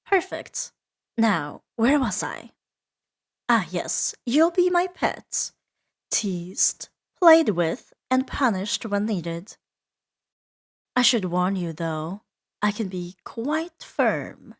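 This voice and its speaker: A woman voicing a seductive, menacing and playful female demon in a roleplay ASMR audio drama, teasing and imperious, drifting into breathy whispers. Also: medium pitch, very animated, very slow, heavily acted. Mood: pleased, domineering.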